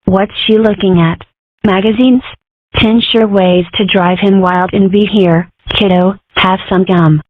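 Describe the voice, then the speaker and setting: A woman's voice: mid-range voice, fairly even intonation, a little slow, no room sound, natural voice. A woman voicing an exasperated, pedantic lawyer mother in a cartoon comic dub. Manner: pedantic, lamenting. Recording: tinny, phone-like audio.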